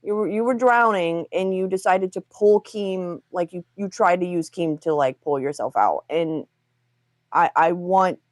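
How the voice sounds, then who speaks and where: A woman's voice: fairly low pitch, unhurried, no room sound. A woman on a livestream talk show, speaking bluntly and pressing hard questions, with frequent swearing.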